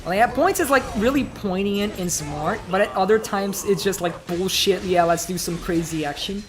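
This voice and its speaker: A man's voice: sits high, normal speed, music in the background. A man from Brazil with a light Brazilian accent, chatting casually and rambling with many fillers while livestreaming a game. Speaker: streamer.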